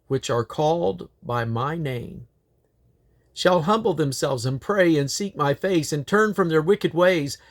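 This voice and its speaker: A man reading scripture aloud in a solemn, declamatory way, with the occasional slip and correction. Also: fairly high pitch. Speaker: Bible reader.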